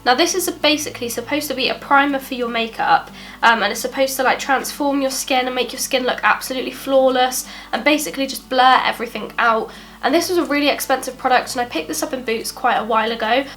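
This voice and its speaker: A woman's voice: high voice, quick pace, fairly bright tone. A young British woman with a stuffy nose from a cold, talking through a product review in a subdued, apologetic way. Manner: subdued and apologetic, chatty with fillers. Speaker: beauty vlogger. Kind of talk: casual product review talk.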